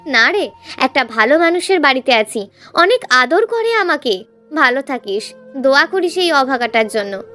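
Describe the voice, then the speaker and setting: A woman's voice: high-pitched, very animated, moderate pace, sharp tone, soft background music, heavily acted. A woman voicing a sorrowful, humble young man cast out from home, plaintive and hesitant in story dialogue.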